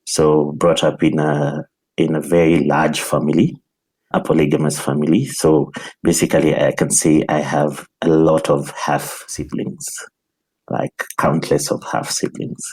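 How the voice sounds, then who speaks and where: Male podcast guest from Nairobi, Kenyan accent, very deep and husky, telling personal memories in interview. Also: little pitch movement, unhurried, a little mellow, rough recording.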